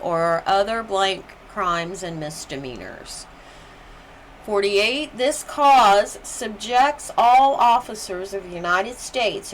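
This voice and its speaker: A woman's voice: mid-range voice, a bit bright. Woman reading a lesson aloud, noisy recording. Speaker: presenter reading aloud for a class. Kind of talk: read-aloud educational presentation.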